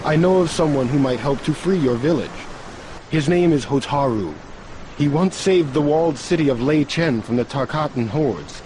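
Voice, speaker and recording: Higher-pitched, earnest older martial arts champion played by a man. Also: animated, noisy background, natural voice. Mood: earnest, concerned. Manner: formal, courteous, deliberate. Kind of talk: video game cutscene acting.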